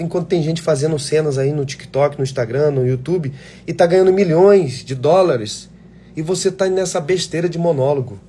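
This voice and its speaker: A man's voice: on the high side, warm tone, slight background noise. A man, an actor, talking casually and passionately to aspiring actors in a live chat, blunt and swearing freely. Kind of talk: casual live chat answering viewer questions.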